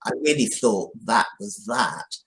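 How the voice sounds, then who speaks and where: A man's voice: fairly low pitch, expressive, measured pace, fairly bright tone, low-quality recording. An older man chatting casually as an interview guest, repeating phrases for emphasis. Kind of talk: casual chat.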